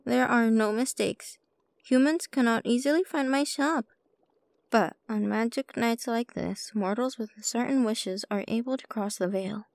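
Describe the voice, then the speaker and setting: A woman playing a sly, playful witch shopkeeper with a soft heart in an ASMR roleplay, coy and teasing as she addresses the listener. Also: on the high side, measured pace, creaky, no echo. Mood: mysterious, alluring. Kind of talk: ASMR roleplay, scripted character monologue.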